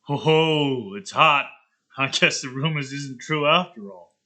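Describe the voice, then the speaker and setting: A man voicing a dim, sheltered jock who is naive and curious, with a halting, dopey manner. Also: mid-range voice, pushed and bright. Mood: amazed. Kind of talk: animated character script reading.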